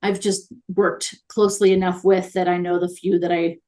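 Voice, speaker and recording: Interview guest: woman, somewhat low pitch, friendly and talkative, peppered with "um". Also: flat delivery, moderate pace, darkish tone. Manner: chatty and upbeat, many fillers. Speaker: guest consultant on virtual assistants. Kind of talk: conversational interview.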